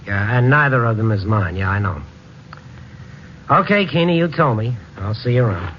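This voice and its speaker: A man's voice: medium pitch, expressive, moderate pace, heavy background noise, close to an everyday voice. A man acting a world-weary, easygoing investigator who narrates his own tale in old-time radio drama, wry and colloquial. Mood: placating. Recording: muffled vintage radio recording.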